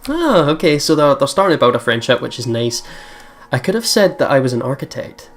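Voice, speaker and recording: Excitable let's player over faint game music. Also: medium pitch, a little fast. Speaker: let's play host. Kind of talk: casual game commentary and reading narration aloud. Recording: game music behind commentary. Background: visual novel game music.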